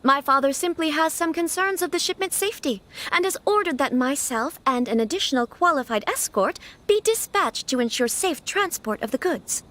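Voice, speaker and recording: A woman's voice: high voice, expressive, normal speed, few pauses, fairly bright tone. A woman playing a refined, resolute young noblewoman in a video game, speaking formally and with composure. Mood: stern, commanding. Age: young adult.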